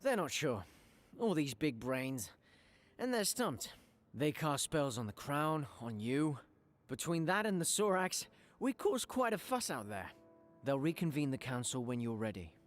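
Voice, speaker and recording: Lively, earnest young adventurer. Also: male voice, a little high, measured pace, faint music behind. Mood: reassuring. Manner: earnest, animated. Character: plain-spoken young male adventurer, earnest deputy. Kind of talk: fantasy video game acting.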